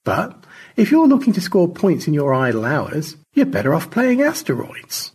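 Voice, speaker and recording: A man's voice: on the high side, huge pitch swings, moderate pace, a little mellow, slightly rough, pitched above the performer's own voice, full character voice. A man voicing an irritable, sardonic space crewman in a storybook, teasing and needling. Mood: mocking, needling.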